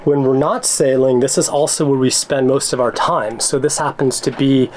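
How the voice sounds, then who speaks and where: A man's voice: mid-range voice, steady tone, moderate pace, a little mellow, slight background noise. An American man talking casually and explaining things in an easygoing way, as a sailing vlogger on a tour. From Arizona, United States. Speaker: sailing vlogger and boat owner.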